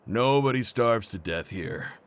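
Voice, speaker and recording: An actor playing a plain-spoken prison cafeteria worker in a video game, gruffly matter-of-fact. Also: male voice, mid-range voice, expressive, measured pace, a little hiss or hum, close to an everyday voice. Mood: blunt, reminiscing. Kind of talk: video game character voice acting.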